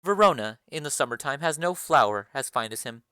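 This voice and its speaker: A woman doing a stern, low noble mother. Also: animated, fairly bright tone, smooth, dry recording, studio quality. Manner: measured, formal, lowered and distant delivery. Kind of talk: dramatic reading of a play.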